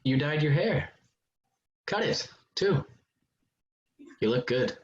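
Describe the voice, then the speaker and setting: A man's voice: on the high side, animated, takes it slowly, slight background noise. A man playing a controlling, insinuating ex-soldier, casual and pressing, in a play reading with dull call audio. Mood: smooth, insinuating. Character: insinuating, controlling ex-soldier, abusive former partner. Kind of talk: staged play reading over video call.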